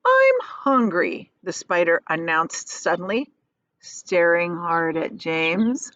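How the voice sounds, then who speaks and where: A woman teacher reading a children's storybook aloud expressively, pausing to explain things in simple, casual words. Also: fairly low pitch, fairly bright tone, no room sound, lo-fi sound.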